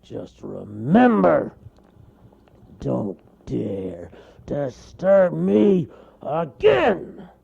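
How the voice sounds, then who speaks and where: A man voicing a grumpy, bossy male bear in a storybook, loud and scornful, shouting and sneering. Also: high-pitched, lively intonation, unhurried, vocal fry, husky, muffled recording, pitched above the performer's own voice, exaggerated voice. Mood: angry boastful.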